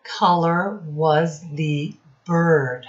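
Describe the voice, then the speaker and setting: Slow, low-voiced woman teaching young children. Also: lo-fi sound. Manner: encouraging, addresses children directly with questions. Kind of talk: read-aloud lesson quizzing young children.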